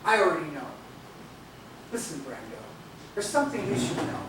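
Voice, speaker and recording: An actor playing a warm but gruff elderly mentor and bar keeper in a stage play. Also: male voice, on the high side, very animated, normal speed. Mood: solemn. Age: older. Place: theatre stage, videotaped live from a distance. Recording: echoey noisy theatre hall, distant camera mic.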